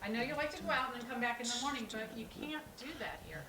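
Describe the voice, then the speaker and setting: A woman's voice: fairly high pitch, very fast, natural voice. A woman in a staged play reading, playing a caring but exasperated adult sister with a conversational, coaxing manner. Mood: gently firm. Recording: roomy, distant mic with clear noise.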